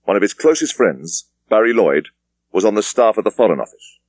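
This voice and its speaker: A man with an English accent reading a thriller audiobook in a measured, precise way. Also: lowish voice, very animated, dry recording. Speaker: audiobook narrator. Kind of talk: scripted thriller narration.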